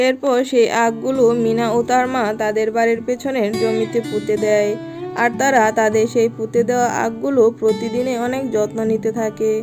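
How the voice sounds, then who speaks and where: A woman's voice: fairly high pitch, fairly even intonation, brisk, over music. A woman reading scripted fairy-tale narration as the storyteller of a cartoon.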